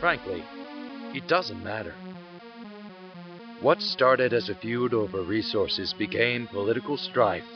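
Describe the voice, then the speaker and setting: A man performs a grave narrator for an audio drama about war, solemn and rhetorical. He speaks low and deliberately. The recording is a little muffled, with music underneath. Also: expressive. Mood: grave, philosophical. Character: grave male narrator musing on endless war.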